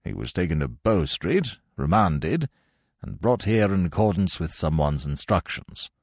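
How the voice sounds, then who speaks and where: A man voicing a stern, fiery old British colonel and prison governor in an audiobook, brusque and husky. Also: low voice, very animated, a little slow, darkish tone, no room sound, studio quality, heavily acted. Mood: official. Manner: brusque, clipped military authority.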